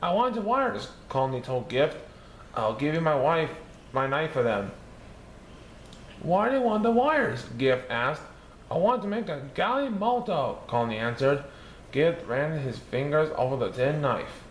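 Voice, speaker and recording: Lively man reading a storybook aloud. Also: a little slow. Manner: lively, raising his pitch for characters' lines. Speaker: storybook reader. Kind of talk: reading a children's picture book aloud. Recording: echoey room with faint background noise.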